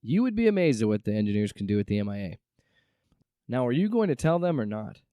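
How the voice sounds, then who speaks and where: An actor playing a pushy, questioning team member in an audio drama. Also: male voice, mid-range voice, sing-song, fast talker, clear, resonant tone, dry recording, muffled recording, natural voice. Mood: confronting.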